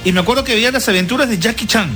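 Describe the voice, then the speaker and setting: A man's voice: very high-pitched, racing, pushed and bright. A man hosting a casual radio chat, talkative, often repeating himself and tagging his phrases with little questions. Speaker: radio show host. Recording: music playing behind the voice. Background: music bed.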